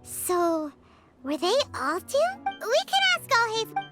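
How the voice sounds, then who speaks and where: A woman voicing a tiny, cheerful, childlike fairy companion in an anime game, squeaky and excitable. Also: slow, loud music behind the voice, exaggerated voice. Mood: helpful, upbeat. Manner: refers to self in third person, exclaiming.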